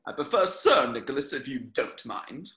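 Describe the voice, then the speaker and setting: Man playing haughty, gloomy ghost, lively pitch. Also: normal speed. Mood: prim, offended. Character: dignified, gloomy, touchy male castle ghost. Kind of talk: table read of a film script.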